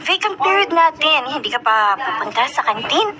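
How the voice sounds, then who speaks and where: A high-voiced chatty teen girl. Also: big pitch swings, normal speed. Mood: curious. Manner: chatty and teasing. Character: chatty teenage girl classmate and cousin, curious and upbeat. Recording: noisy radio broadcast recording.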